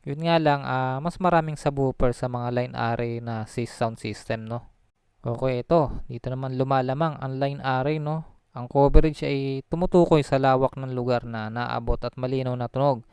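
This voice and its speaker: A man's voice: mid-range voice, level tone, normal speed, darkish tone, clear, resonant tone, dry recording, clean studio sound. A man talking through a casual explainer, chatty, full of fillers and restarts, dropping his voice on tag phrases. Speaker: channel host explaining audio gear. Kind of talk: casual explainer, voice-over on slides.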